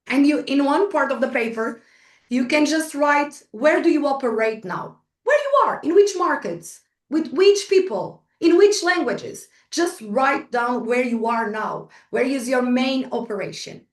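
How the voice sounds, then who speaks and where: A woman's voice: high voice, expressive, a little slow. A woman with a Portuguese accent, a Portuguese native speaker, giving a chatty motivational workshop talk to an online group, full of restarts and small laughs. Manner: chatty, frequent restarts and fillers, laughs at herself. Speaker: guest speaker. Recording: echoey room, distant from the mic.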